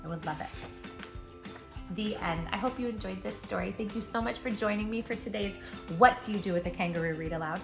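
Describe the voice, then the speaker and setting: A woman reading a picture book aloud to children in an expressive, affectionate, playful way as a storytime host. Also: medium pitch, fairly quick, gravelly. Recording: roomy echo, faint music behind. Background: faint music.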